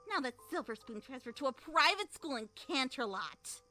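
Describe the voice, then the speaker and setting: A woman as a high, bratty, haughty filly. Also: lively intonation, normal speed, pushed and bright. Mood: guarded, bitter. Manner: snippy, scornful. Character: bratty young filly pony, haughty, complaining, secretly lonely.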